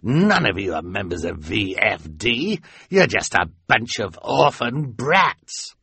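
A man's voice: on the low side, very animated, takes it slowly, vocal fry, pushed and bright, breathy edge, dry recording, exaggerated voice. A male narrator voicing a vain, cruel, theatrical villain who sneers and barks orders. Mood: sneering.

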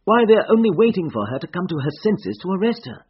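Man narrator doing affable detective in an audiobook, precise and pushy with questions, voice pitched way up, bit muffled recording. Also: sing-song, fairly quick, slightly rough, heavily acted. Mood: coolly pressing. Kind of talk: audiobook character voice.

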